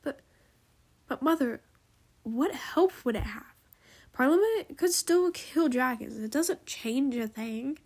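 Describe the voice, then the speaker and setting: Storybook dragon princess, young, stubborn, argumentative, high-pitched, played by a woman. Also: lively intonation, takes it slowly, a little mellow, exaggerated voice. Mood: protesting. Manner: argumentative, emphatic.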